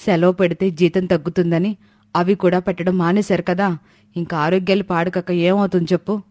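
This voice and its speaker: A woman's voice: fairly low pitch, little pitch movement, fairly quick, darkish tone, no room sound, close to an everyday voice. A woman voicing a caring, long-suffering housewife in a family storybook tale. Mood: sympathetic.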